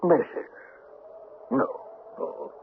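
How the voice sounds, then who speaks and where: An actor playing a fawning, sly boatkeeper in an old radio drama, dithering and swooping into high notes. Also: male voice, drawn-out words. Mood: feigned forgetfulness. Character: obliging, sly, doddering boatkeeper. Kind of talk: old-time radio character acting. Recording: tinny, hissy old radio recording.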